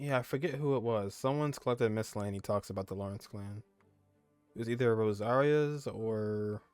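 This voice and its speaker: A man's voice: on the low side, no room sound. A man giving casual commentary on a game playthrough, musing and thinking aloud as he goes. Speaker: gamer, video creator. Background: game music.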